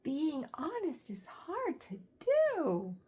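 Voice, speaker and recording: Woman reading as a slow, tender mother llama. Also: sits high, wildly swooping pitch, mellow, pitched above the performer's own voice, exaggerated voice. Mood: proud, tender. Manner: tender, drawn-out, reassuring. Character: gentle, forgiving mother llama. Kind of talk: children's storybook read-aloud.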